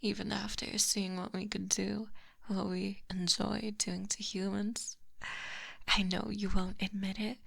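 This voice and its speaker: A woman voicing a possessive, smugly teasing snake monster in an intimate, breathy ASMR roleplay, close to the microphone. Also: medium pitch, level tone, exaggerated voice. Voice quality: breathy, whispery. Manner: slow, smug, softly menacing. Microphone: close binaural microphone.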